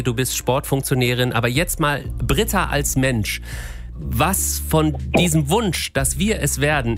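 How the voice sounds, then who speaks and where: A male radio host who talks loosely and teasingly, introducing and questioning a guest. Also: medium pitch. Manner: locker, flapsig, neckt den Kollegen. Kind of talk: Morgenshow-Moderation. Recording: Studio mit lauter Musik darunter.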